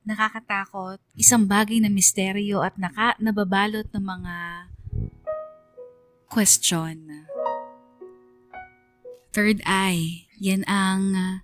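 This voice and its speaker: A woman radio DJ delivering dramatic scripted story narration. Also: mid-range voice. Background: music.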